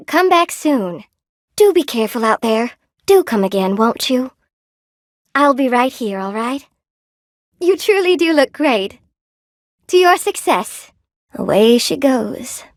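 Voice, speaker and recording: Game role: sweet, lonely spider-woman shopkeeper, gentle and eager to please, fairly high voice, unhurried, dry studio sound. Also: animated, no echo. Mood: fond farewell. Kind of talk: video game voice acting, short character barks.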